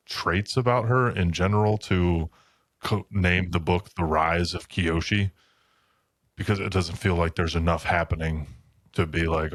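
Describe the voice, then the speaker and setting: Deep-voiced man chatting casually about books. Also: dry recording. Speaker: podcast co-host. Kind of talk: casual book chat.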